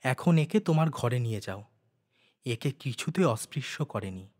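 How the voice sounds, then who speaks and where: A man voicing an earnest, resolute young woman in a storybook reading, soft and solemn. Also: medium pitch, lively intonation, moderate pace, in a large hall, voice pushed down, lightly acted. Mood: gentle, resolute. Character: earnest, devoted young woman, once outcast, now resolute.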